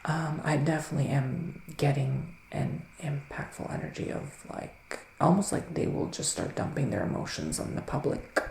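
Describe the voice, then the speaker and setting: Man playing a woodland psychic witch, ASMR roleplay, gravelly fry, soft and whispery, mystical theatrical phrasing, echoing room. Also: fairly high pitch, normal speed, hardly any pauses. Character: psychic male witch living in the woods, giving readings. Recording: roomy echo with faint background noise.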